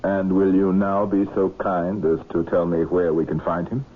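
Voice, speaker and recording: A businesslike police inspector in a radio drama, with a low, husky voice. He is direct and skeptical, asking short questions. The old recording sounds thin and band-limited. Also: level tone, moderate pace. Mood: probing. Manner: terse, questioning. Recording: muffled narrow-band vintage radio recording.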